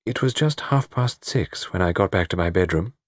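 A man voicing an anguished, halting refined lady. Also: low-pitched, expressive, no room sound, clean studio sound. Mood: shaken. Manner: halting, breaking off mid-phrase. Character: anguished, refined upper-class lady in a murder mystery.

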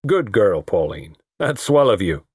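A man voicing a commanding, jovial middle-aged guardian with a heavy, forceful delivery, in an audiobook story. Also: fairly low pitch, sing-song, unhurried, breathy edge, no room sound, clean studio sound, heavily acted. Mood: approving.

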